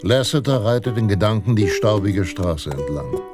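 An actor playing a solemn, measured narrator of a western tale in a radio play. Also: male voice, fairly low pitch, expressive, lightly acted. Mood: solemn, heroic. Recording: guitar music behind the voice. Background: plucked guitar music.

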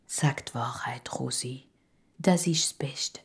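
Woman playing a slow, low-voiced Swiss neighbour lady. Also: in a whisper, full character voice. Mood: gentle, serious. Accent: Swiss German. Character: kindly older female neighbour, gently advising.

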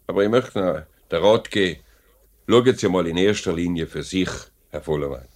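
An actor playing a self-interested factory director in a 1950s radio play, brisk, persuasive and condescending, with a Swiss German accent. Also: male voice, low-pitched, natural voice. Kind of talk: mid-century radio play acting. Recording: vintage 1950s radio drama recording.

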